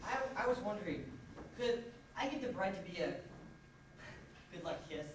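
A young American man acting an earnest, wounded, eccentric groom in a high school stage comedy, emphatic and sometimes halting. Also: expressive. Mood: dejected. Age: young adult. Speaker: amateur stage actor. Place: indoor school theatre stage. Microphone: far camera microphone. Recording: distant, echoey auditorium videotape with noisy audience.

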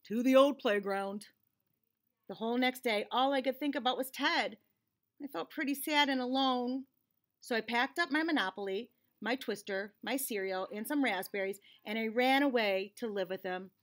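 A woman in her forties giving an expressive, dramatized storybook read-aloud for children in a small room. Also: on the high side, normal speed. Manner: animated, clearly dramatized for children.

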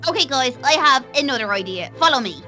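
A woman playing an excitable, sassy young princess who likes to tease, in a children's game roleplay. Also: high-pitched, fast, faint music behind. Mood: excited.

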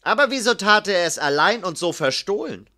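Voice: medium pitch, very animated, moderate pace, pushed and bright, voice pushed up. Vorleser spielt strengen, knappen Inquisitor. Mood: stern questioning. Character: stern male inquisitor, gruff interrogator.

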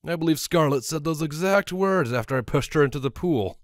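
A man's voice: fairly high pitch, expressive, brisk, no echo, clean studio sound, voice pushed up, close to an everyday voice. A man voicing a good-natured male pony guard captain and newlywed husband with a wry, amused manner.

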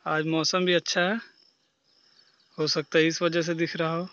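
A young man in his twenties from northern India, chatting excitedly in a casual vlog from a village rooftop, recorded on a phone at arm's length. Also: fairly high pitch, measured pace, clean tone, dry recording, dull audio. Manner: excited, chatty, laughing easily. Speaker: vlogger. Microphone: phone held at arm's length.

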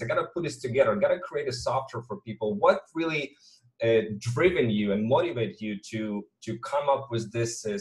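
Eager young host, Hebrew speaker. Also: male voice, medium pitch, rough recording. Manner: eager, lots of fillers, hyped greetings. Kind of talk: casual interview over video call. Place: small office with chair.

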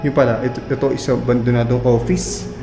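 A man in his thirties hosting a walking vlog through an abandoned building, talking chattily to a handheld camera and dropping to a hush in dark rooms. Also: medium pitch, quick pace, creaky, loud music behind the voice. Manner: chatty, excitable, trails off into hushed remarks in dark rooms. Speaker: vlog host exploring abandoned places. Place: abandoned concrete building, indoors and outdoors. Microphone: handheld action camera at arm's length.

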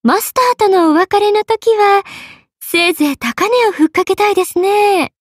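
A woman's voice: very high-pitched, moderate pace, no room sound, clean studio sound. A woman voicing a playful, money-loving young half-spirit merchant sorceress in an anime game, with a teasing, polite lilt. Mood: cheerful, businesslike. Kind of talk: Japanese anime game character voice acting.

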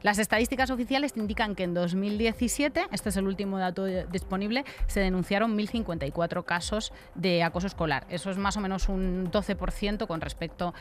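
A woman's voice: mid-range voice, fairly quick, few pauses. Castilian Spanish woman co-hosting a talk show. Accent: Castilian Spanish from Spain. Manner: informal, colloquial. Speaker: podcast co-host. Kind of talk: conversational news commentary. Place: radio-style podcast studio. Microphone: close studio microphone on desk.

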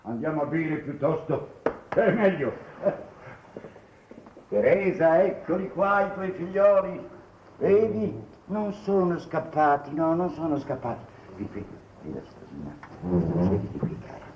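A genial older uncle in a vintage Italian TV drama. He is jovial and fond, speaking slowly with wide swings of pitch. The sound is dull with a faint layer of noise. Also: expressive. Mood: warm, fussing. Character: genial hearty older uncle hosting a wedding toast. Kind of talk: 1950s Italian television drama acting.

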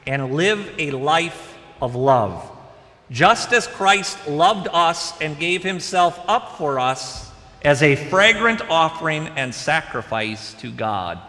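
A middle-aged man preaching a sermon from the pulpit, animated and conversational. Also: a little high, slow pace. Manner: animated preaching with wide gestures, moving about behind the pulpit. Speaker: pastor. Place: large church sanctuary. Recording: long church reverb.

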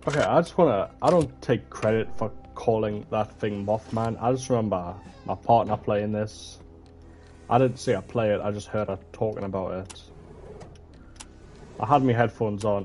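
Young male streamer, slightly ill, low and warm, headset, muttering playthrough commentary. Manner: reads in-game notes aloud, muttering asides. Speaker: gaming streamer. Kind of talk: horror game let's play commentary. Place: indoor room at a desk.

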